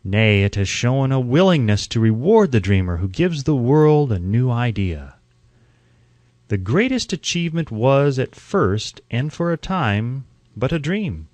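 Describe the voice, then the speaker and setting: A man delivers scripted audiobook narration in a medium-pitched voice. He reads in measured, declarative phrases with an oratorical bent. The recording is dry and close, with no room echo. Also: very animated, darkish tone. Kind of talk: scripted audiobook narration of a self-help book.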